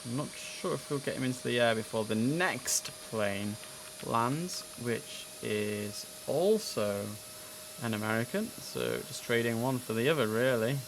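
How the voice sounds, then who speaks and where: A man's voice: medium pitch, lively intonation, unhurried, background noise. A man commentating casually on a simulator game, chatting and musing to himself, with clipped radio calls. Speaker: streamer playing an air traffic control simulator.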